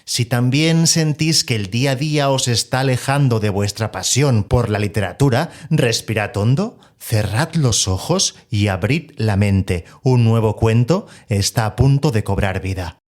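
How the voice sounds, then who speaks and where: A man with a Spanish accent from Spain, hosting a podcast and asking interview questions in a calm, measured way. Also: medium pitch. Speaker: podcast host.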